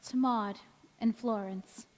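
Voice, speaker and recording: A woman plays an anguished, devoted young wife in a radio drama. Her voice sits fairly high and moves very slowly, with earnest melodrama. It rings through a reverberant hall with music behind. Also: fairly even intonation, darkish tone. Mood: desperate, resolute. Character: anguished, devoted young-looking wife cursed never to age. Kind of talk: stage radio-play acting. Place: large reverberant church or hall.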